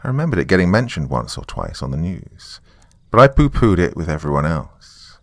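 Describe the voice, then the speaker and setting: A man's voice: deep voice, big pitch swings, fairly quick, fairly bright tone, dry recording, studio quality. A man narrating a scripted first-person horror story in a wry, conversational storytelling manner.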